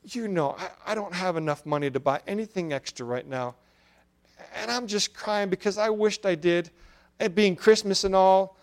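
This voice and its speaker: A man's voice: high voice, darkish tone. A man voicing a poor, worn-out young mother who speaks with rural grammar and a strained voice.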